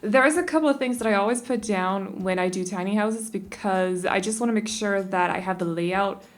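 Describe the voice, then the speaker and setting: A woman's voice: mid-range voice, fairly quick. A young Danish woman chatting casually and rambling a little as she talks over a game speed build, often restarting her sentences. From Denmark. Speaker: YouTube creator.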